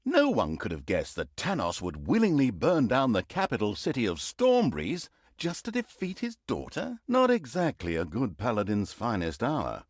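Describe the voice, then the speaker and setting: An actor playing a sarcastic, pompous storyteller, narrating a fantasy video game with dry, mock-dramatic humor. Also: male voice, mid-range voice, wildly swooping pitch, moderate pace, hardly any pauses, no echo. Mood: wry, sardonic. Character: sarcastic, pompous male storyteller of evil deeds. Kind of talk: theatrical scripted fantasy narration.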